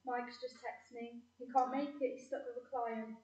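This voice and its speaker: Woman acting an anxious, high-voiced Englishwoman. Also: level tone, moderate pace, a little mellow, recorded from a distance, natural voice. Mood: defensive. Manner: worried, questioning. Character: anxious, fretful, earnest woman of the family. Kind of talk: community audio play. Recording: muffled echoey room, away from mic.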